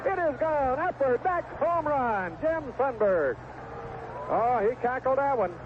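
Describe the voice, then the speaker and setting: A man's voice: very high voice, animated, slow. A man calling live baseball play-by-play on the radio in a folksy, colorful way, recorded at the ballpark. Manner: folksy, colorful turns of phrase. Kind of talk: live play-by-play sports commentary. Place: outdoor ballpark broadcast booth. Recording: tinny, noisy 1970s AM radio broadcast.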